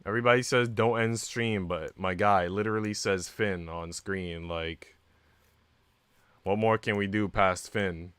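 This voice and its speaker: A man's voice: fairly low pitch, fairly even intonation, measured pace, fairly bright tone, clean tone, no echo. A man chatting casually and ramblingly to viewers as a game streamer. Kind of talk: casual livestream chat. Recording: music playing behind the voice. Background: background music.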